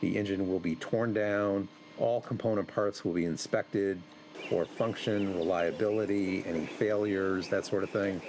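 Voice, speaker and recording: A man's voice: low voice, fairly even intonation, measured pace, a little mellow. A man, an aviation expert explaining something in an interview over the telephone. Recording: noisy phone interview line.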